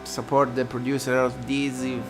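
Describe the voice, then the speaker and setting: A man's voice: medium pitch, level tone, moderate pace, rough recording. A man reading a visual novel aloud and commenting casually as a gaming host, with guitar music behind him. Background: plucked guitar music.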